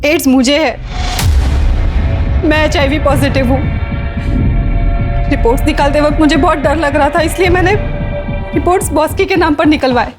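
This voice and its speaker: A woman's voice: high voice, fairly even intonation, normal speed, pauses often, over music, lightly acted. An actress playing an anguished, guilt-ridden woman making a confession in a TV crime drama. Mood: anguished, guilty. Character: anguished woman confessing her illness and deceit.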